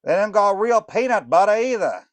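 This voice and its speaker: A man doing a loud wisecracking Northeastern inmate. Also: high-pitched, animated, normal speed, dry recording, pitched above the performer's own voice. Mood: griping. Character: fast-talking bald male inmate, griping.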